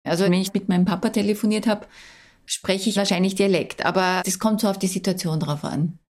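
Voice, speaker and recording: An Austrian woman, a documentary filmmaker, answering an interview in a casual, quick way. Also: mid-range voice, fairly even intonation, a little mellow.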